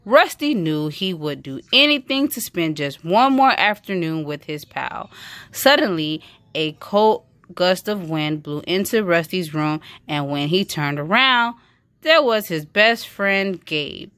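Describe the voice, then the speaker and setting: A woman's voice: on the low side, fairly bright tone. A woman reading a children's story aloud in a storytelling style. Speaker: reader of a children's book.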